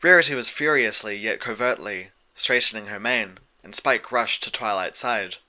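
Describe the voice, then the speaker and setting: A man's voice: on the low side, moderate pace, bright, forceful tone. A man reading scripted fiction as an audiobook narrator.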